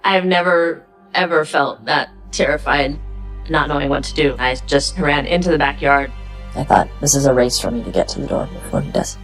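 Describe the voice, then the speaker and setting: Woman, low creaky voice, documentary interview storytelling, faint music behind. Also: moderate pace. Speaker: crime survivor interviewee. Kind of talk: recounting events in documentary interview.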